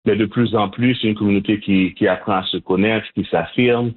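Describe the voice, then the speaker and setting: Interview guest: man, measured, hesitant, slightly husky voice. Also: on the low side, fairly even intonation. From Montreal, Canada. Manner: measured, hesitant, frequent restarts. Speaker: guest, Haitian community organizer. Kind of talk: interview answers. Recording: phone-line audio, husky.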